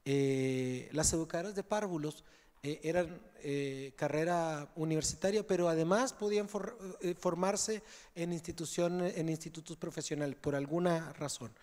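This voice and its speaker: A man with a Mexican accent speaks at a conference in a conversational, explanatory way, often checking in with the audience. His voice sits a little high for a man, and long reverb from the large hall trails after each phrase. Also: normal speed, a little mellow. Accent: Mexican Spanish. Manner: conversational, tags phrases with "¿sí?" and fillers. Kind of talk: conference Q&A answer.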